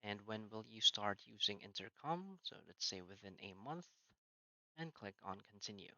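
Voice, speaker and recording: A man talks through a software setup step by step, his lowish voice carrying a very bright, sharp edge. He leans on "so" and "uh" between plain instructions. The sound is dry and dull, like a modest lo-fi mic. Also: animated, normal speed, dry recording. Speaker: software tutorial narrator. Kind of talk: screen-recorded walkthrough narration.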